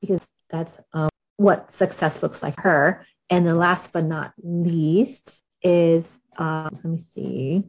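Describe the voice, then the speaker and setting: Host: upbeat talkative woman, low-ish pitch, lo-fi recording. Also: fairly even intonation, slow pace, a little mellow, slightly rough. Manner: bubbly, chatty, many fillers. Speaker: podcast host. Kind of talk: podcast interview. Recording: dull lo-fi audio.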